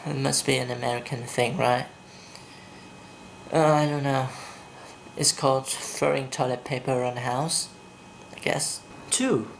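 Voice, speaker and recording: A man from Vietnam with a Vietnamese accent, talking slowly and deliberately in a home video blog, blunt when he gives his opinions. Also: medium pitch, steady tone, creaky, slight background noise. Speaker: vlogger. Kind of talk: casual video blog, reading a quiz and ranting. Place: indoors at home at night, kept quiet.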